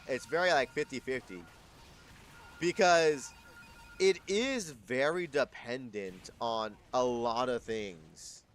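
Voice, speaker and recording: A man of twenty-two chatting casually on a live stream, rambling and correcting himself, swearing freely. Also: medium pitch.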